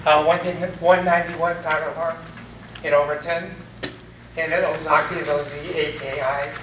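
A man's voice: on the high side, fairly even intonation, moderate pace, background noise. Hesitant man speaking at a hearing. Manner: hesitant, unsure of procedure, informal. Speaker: applicant at public hearing. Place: town meeting room. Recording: echoey room from far off.

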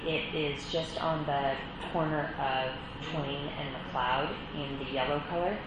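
A woman presenting a development application to a board, brisk and practiced. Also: sits low, fairly even intonation, muffled recording. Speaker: applicant's representative. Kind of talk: public hearing presentation. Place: public meeting chamber. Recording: very echoey chamber with loud background noise.

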